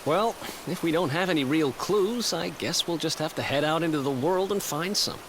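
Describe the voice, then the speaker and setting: A man's voice: fairly high pitch, moderate pace, noisy background, close to an everyday voice. Man as a cocky, casual young thief. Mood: resolved. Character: cocky, good-hearted young thief sidekick. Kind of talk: video game voice acting. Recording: music behind the voice.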